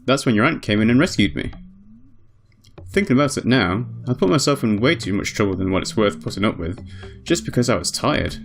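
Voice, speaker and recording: A man's voice: lowish voice, lively intonation, fairly quick. A man voicing a scrappy, plain-spoken ferryman in an informal, easygoing visual novel dialogue reading. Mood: reflective, casual.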